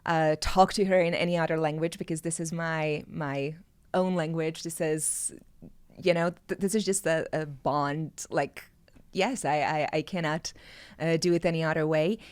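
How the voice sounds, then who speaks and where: A woman's voice: low voice, fairly even intonation, normal speed, smooth, no echo. A woman in her thirties, a native Hungarian speaker with a Hungarian accent, talking thoughtfully as an interview guest, often stopping and restarting. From Czechoslovakia. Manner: thoughtful, hesitant, with frequent restarts. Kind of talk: casual chat.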